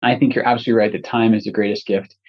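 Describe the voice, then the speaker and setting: A man from Scotland hosting a podcast interview, talking in a friendly, halting way with many restarts. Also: lowish voice, little pitch movement, muffled recording. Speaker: podcast host. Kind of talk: conversational interview.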